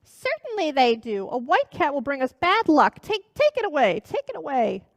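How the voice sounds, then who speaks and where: A woman voicing a bad-tempered old female witch who shrieks in a shrill, high voice. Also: huge pitch swings, normal speed, dry recording, heavily acted. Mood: shrill anger. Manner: shrieking, furious.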